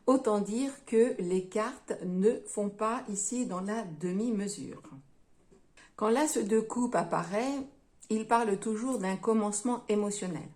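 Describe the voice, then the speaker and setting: A woman gives a tarot and astrology reading straight to her audience, calling them her friends. She takes her time, and her delivery is slow and mellow. Small hesitations thread through her explanations. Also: mid-range voice. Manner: gentle, unhurried, with frequent hesitations; addresses viewers as friends. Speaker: tarot reader.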